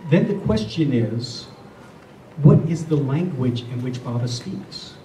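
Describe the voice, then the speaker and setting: A man gives a reflective spiritual talk in a soft, mellow voice of medium pitch. He is earnest and deliberate, circling back over his phrases. The sound is echoey and picked up from some distance. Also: expressive. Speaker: spiritual speaker. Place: room with a listening group. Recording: roomy, distant mic, faint noise.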